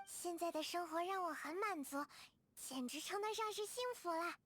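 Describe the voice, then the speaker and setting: Casting: shy, grateful young girl for a video game, extremely high voice, courteous and soft-hearted. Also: moderate pace. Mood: content, happy. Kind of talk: video game character voice acting.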